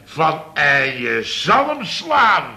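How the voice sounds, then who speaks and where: Blustery dutch boss in old radio comedy, grumbling, super sharp voice, swings up and down. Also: male voice, a little high, huge pitch swings, drawn-out words, gravelly, heavily acted. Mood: indignant. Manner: exclamatory, grumbling, tags sentences with "hè" and "zeg". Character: blustering, indignant male boss of a building firm.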